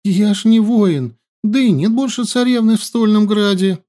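A man's voice: high voice, expressive, normal speed, mellow, voice pushed up. A man voicing a cunning young woman herbalist who plays the helpless captive with a whiny, pleading voice. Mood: wailing.

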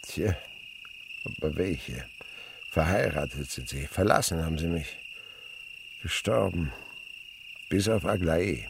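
An actor playing an elderly, thoughtful and melancholy naturalist in a German radio play, musing and pausing as he speaks. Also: very deep voice, animated, gravelly, noisy background, exaggerated voice. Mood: wistful, melancholy. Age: older. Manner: reflective, musing, hesitant pauses.

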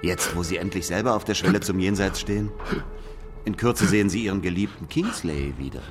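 Actor as smug, low-voiced master detective. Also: male voice, music in the background, close to an everyday voice. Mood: mocking. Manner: deliberate, superior, lecturing, needling. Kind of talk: German radio play dialogue.

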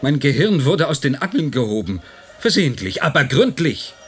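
A man's voice: on the high side, sing-song, normal speed, soft background music. An actor in a radio play voicing a weary, tormented man who broods with dramatic intensity. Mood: agitated. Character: tormented, brooding man cut off in his own time. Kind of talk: German radio play acting.

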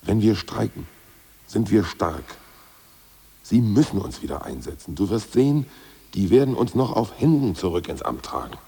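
An actor playing a blunt, coarse ousted party official in a radio drama, with a gravelly, husky voice. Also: male voice, on the low side, big pitch swings, moderate pace, mellow, full character voice. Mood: confident. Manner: blunt, coarse, self-assured bluster. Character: ousted party boss, gruff cynical man. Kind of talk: 1980s radio drama acting.

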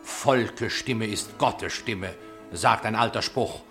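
A man's voice: fairly low pitch, takes it slowly, fairly bright tone, faint music behind. A man playing a sanctimonious, condescending aristocrat in a German radio play, pompous and menacingly polite. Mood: pompous, veiled threat.

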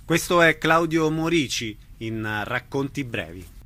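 A man's voice: mid-range voice, lively intonation, unhurried. A man hosting a radio programme about theatre, presenting items and introducing guests. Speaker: radio host. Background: faint music.

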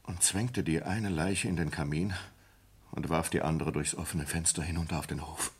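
An actor playing a distraught sailor in a German radio play, confessing in a halting, intense manner with a husky voice. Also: male voice, very deep voice, steady tone. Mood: horrified, subdued. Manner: halting, intense, swinging from hushed to agitated. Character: distraught sailor confessing a horrifying ape killing. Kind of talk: German radio play acting, dramatic monologue.

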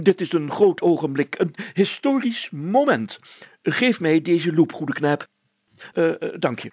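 A pompous, excitable small-town mayor from a storybook , acted out by a male reader. The voice is pitched high and rough-edged, fussy and exclaiming, with indignant stammers and drawn-out words. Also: dry recording, heavily acted. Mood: flustered.

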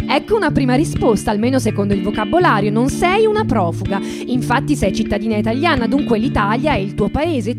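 A woman giving a deliberate, theatrical reading of literary narration. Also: on the high side. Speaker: reader and stage performer. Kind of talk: dramatic literary reading, second-person narration. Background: plucked acoustic guitar music.

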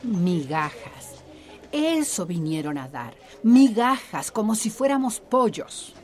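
A woman's voice: medium pitch, very animated, takes it slowly, faint music behind. A woman playing a worldly, sardonic and secretive middle-aged activist in a radio drama. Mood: scornful.

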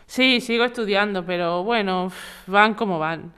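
An actress plays a daughter-in-law in a radio drama, stressed and exasperated. Her voice is smooth and clear, at an average pitch for a woman. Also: a little slow, a bit bright, lightly acted. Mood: weary.

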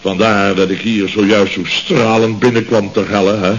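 Male actor for radio comedy: pompous, excitable construction boss, blustery and flustered, on a dull, noisy old recording. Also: lowish voice, moderate pace, lightly acted. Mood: moved, grandiose. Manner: pompous, flustered, stammering, repeating himself. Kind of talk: radio comedy serial acting.

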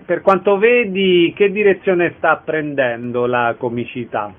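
A man's voice: on the high side, steady tone, a little slow, a little hiss or hum. A man hosting a radio interview, introducing and putting short questions to a guest. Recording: muffled, lo-fi radio audio.

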